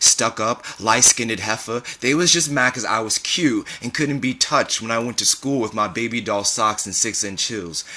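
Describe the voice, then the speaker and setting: Man voicing a sassy, forceful American mother. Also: mid-range voice. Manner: sassy, emphatic scolding.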